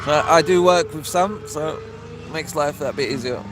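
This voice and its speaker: A man with a fairly high voice gives laid-back, chatty commentary at a race track. Loud engine and traffic noise fills the outdoor phone recording. He reads out comments as he goes. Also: normal speed. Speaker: vlogger commenting on practice session. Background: race cars passing.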